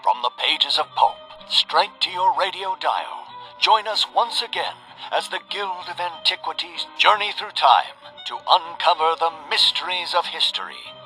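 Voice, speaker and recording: Bright, grandiose radio serial announcer. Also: male voice, fairly high pitch, lively intonation, measured pace, faint music behind, full character voice, rough recording. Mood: grand, suspenseful. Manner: grandiose, theatrical, suspenseful. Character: dramatic pulp radio serial announcer man. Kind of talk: old-time radio serial narration.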